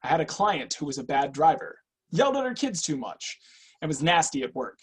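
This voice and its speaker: A man's voice: on the high side, sing-song, a little fast, slightly rough. A man in a script reading, playing a composed, businesslike personal assessor who probes people. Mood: calm, probing. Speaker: actor in a screenwriters' readers theatre.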